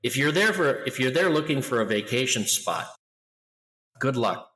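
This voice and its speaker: A middle-aged man talking loudly and with lively energy as a guest in an interview. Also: medium pitch, normal speed, long reverb tail. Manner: loud, animated storyteller. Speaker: guest, international school educator. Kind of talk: conversational interview.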